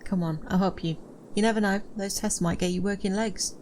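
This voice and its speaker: A woman's voice: medium pitch, fairly even intonation, a little fast, a little mellow, slight background noise, lightly acted. A woman acting a determined, protective, poor young woman in an audio drama. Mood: resolute, reassuring. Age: young adult.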